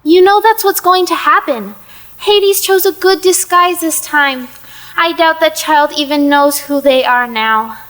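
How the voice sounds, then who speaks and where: A woman's voice: high voice, unhurried, a bit bright. A woman playing a fretful, world-weary ancient female spirit with a fussing, endearing manner in an audio play. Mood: fretful, despairing. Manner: fussing, calls others dear.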